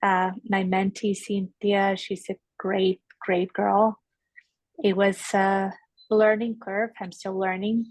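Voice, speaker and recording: A woman's voice: mid-range voice, flat delivery, slow, no room sound, poor audio. A woman introducing herself casually as a volunteer on a group video call. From Ecuador.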